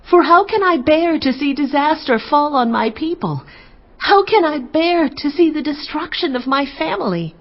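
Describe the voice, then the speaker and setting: A woman's voice: high voice, very animated, normal speed. An actress playing an earnest queen in a dramatized audio Bible, speaking in a formal, impassioned, theatrical way. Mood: pleading. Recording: dull lo-fi audio with music behind.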